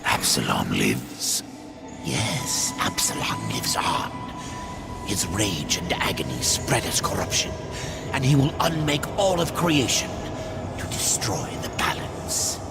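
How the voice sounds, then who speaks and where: An old crow sage, low and creaky. His delivery is portentous and wry, rising and falling dramatically like a game cutscene, over loud eerie music. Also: sing-song, slow, exaggerated voice. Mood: ominous. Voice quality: gravelly, deep. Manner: portentous, sardonic.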